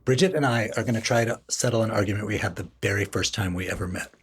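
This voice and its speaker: A man's voice: lowish voice, quick pace, slightly rough. A man from Washington, D.C., co-hosting a casual podcast, wry and joking as he asks probing questions. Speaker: podcast co-host. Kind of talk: casual conversational podcast chat.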